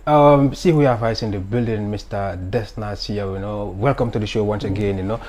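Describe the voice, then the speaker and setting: A man hosting a casual interview show, relaxed and chatty, often adding "you know". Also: fairly low pitch, brisk. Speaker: radio show host.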